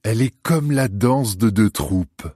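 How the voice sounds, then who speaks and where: A man's voice: on the low side, expressive, unhurried, darkish tone, no room sound, studio quality. A man playing an adoring lover praising his beloved, in a solemn, poetic dramatised scripture reading. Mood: admiring.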